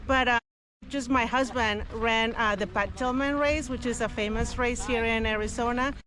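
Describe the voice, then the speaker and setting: A woman's voice: on the high side, fairly even intonation, moderate pace, poor audio. A woman from Mexico telling her running story in a casual interview, chatty and earnest with many fillers. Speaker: runner interviewed at a race. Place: outdoors at a race event.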